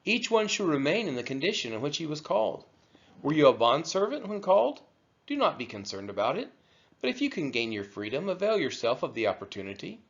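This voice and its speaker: Expressive man reading scripture, dull audio. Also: a little high, fairly quick. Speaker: scripture reader. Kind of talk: scripted read-aloud of scripture.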